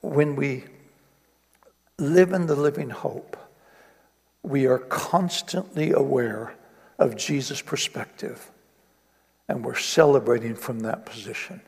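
A man's voice: mid-range voice, takes it slowly, creaky, pauses often, darkish tone, slightly rough. A man preaching a sermon in an earnest way, building to emphatic repetition. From East Africa. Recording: long reverb of a hall.

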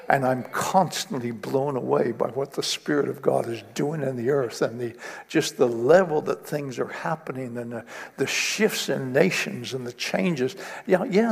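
A man's voice: medium pitch, normal speed, vocal fry, husky. A man preaching a sermon in an earnest way, building to emphatic repetition. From East Africa. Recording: long reverb of a hall.

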